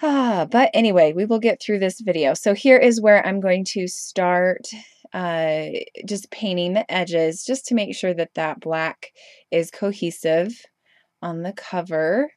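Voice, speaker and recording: A woman's voice: fairly low pitch, unhurried, a little mellow, no echo, clean studio sound. Stuffy-nosed woman chatting through a craft tutorial. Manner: chatty, rambling, frequent ums and self-corrections. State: stuffy and congested from a cold. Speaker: craft video host. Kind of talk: casual voice-over crafting tutorial.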